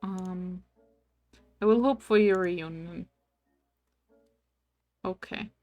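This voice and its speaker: Slow-talking woman streamer, dry close sound. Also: lowish voice, darkish tone, no echo, lo-fi sound, soft background music. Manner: reads game text aloud between musing asides; coos affectionately at game pets. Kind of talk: casual game-stream commentary.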